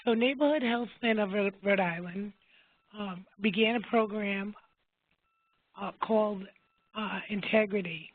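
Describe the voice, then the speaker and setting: A woman presenting a webinar as a health plan's member advocate, her voice going hoarse, speaking in a measured way with fillers and small self-corrections. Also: mid-range voice. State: losing her voice. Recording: dry phone-line audio.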